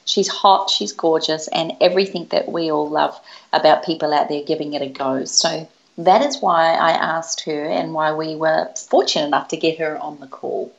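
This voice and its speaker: Low-voiced woman, effusive. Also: fairly even intonation, moderate pace, creaky. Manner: warm, effusive storytelling. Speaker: podcast co-host. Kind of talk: casual chat. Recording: dull lo-fi sound.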